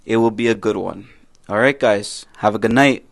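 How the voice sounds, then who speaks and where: A man's voice: fairly low pitch, normal speed, gravelly. A young man from Canada co-hosting a casual podcast, friendly and prone to repeating himself and restarting his sentences. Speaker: podcast co-host.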